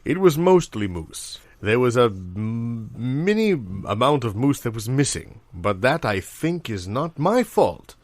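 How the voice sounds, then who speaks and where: A man's voice: mid-range voice, very animated, measured pace, hardly any pauses, no echo. A man playing a pompous, long-winded doctor and minister in an improvised comedy interview, speaking in florid phrases. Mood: defensive, dignified.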